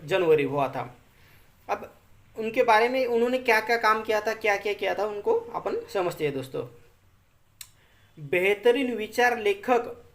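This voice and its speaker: A presenter reads notes aloud as an informal explainer, repeating and rephrasing points and often addressing listeners as friends. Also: medium pitch. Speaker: educational video presenter.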